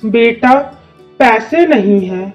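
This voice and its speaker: A performer voicing a kind male village headmaster with a gentle, paternal manner in a scripted moral story. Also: medium pitch, animated, slow pace. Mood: regretful. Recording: music under the voice.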